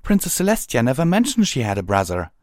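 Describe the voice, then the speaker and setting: A man's voice: medium pitch, huge pitch swings, quick pace, darkish tone, no room sound, studio quality, voice pushed up. A man voicing a curious young female unicorn pony with a startled, questioning manner in a storybook reading. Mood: startled, confused. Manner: questioning, spluttering.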